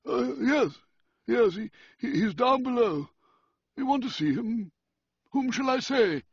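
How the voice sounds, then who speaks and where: A man's voice: very high voice, expressive, a little slow, vocal fry, breathy edge, no echo, dull audio, voice pushed up, heavily acted. A man voicing a fussy, flustered old yacht owner who stammers over his words.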